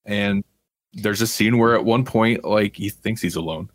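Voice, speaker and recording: A man's voice: fairly low pitch, moderate pace, dry recording. A man co-hosting a podcast, chatting casually about pop culture. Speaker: podcast co-host.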